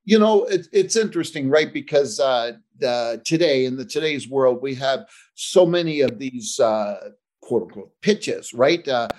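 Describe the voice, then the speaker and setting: A male podcast host in easy conversation with his guest. His pitch swings widely and expressively, rising high and dipping low. He strings thoughts together casually, peppering them with "right?" and "you know". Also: expressive, measured pace, a little mellow. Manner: conversational, asks questions, tags "right?". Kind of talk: casual interview chat.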